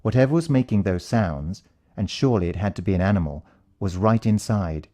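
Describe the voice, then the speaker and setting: A British man reads a first-person story with suspense and drama. His voice is on the low side and notably dark and soft-edged. The intonation moves widely and expressively. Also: animated, a little fast. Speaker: audiobook narrator.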